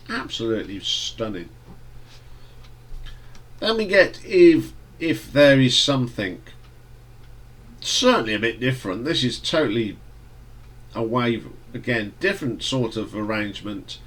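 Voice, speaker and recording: Man, lowish voice, stuffy nose, casual album review, rambling delivery. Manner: relaxed, rambling with fillers and restarts. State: stuffy nose from hay fever. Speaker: music reviewer.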